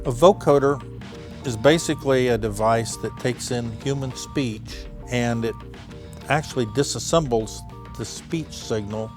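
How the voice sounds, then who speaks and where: Mid-pitched man explaining technology over music. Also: measured pace. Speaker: technical expert. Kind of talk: plain explanatory documentary interview. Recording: music bed behind interview.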